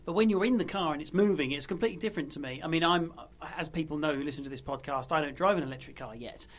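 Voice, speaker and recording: Fast-talking man, stumbling repeats. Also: a little high, fairly bright tone. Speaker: podcast co-host. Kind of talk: casual podcast chat.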